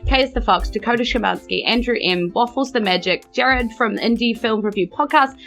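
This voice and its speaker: A woman's voice: on the high side, moderate pace, fairly bright tone, faint music behind. A woman, casually hosting a tabletop game podcast as game master. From New Zealand.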